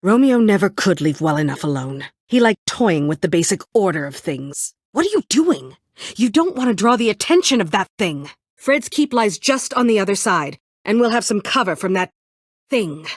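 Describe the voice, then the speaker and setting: A woman's voice: medium pitch, very animated, normal speed, no room sound. A woman playing a bitter, guarded former admin and ex-prisoner in a video game, curt and dryly sarcastic. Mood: grim, wry. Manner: curt, dry sarcasm, brooding.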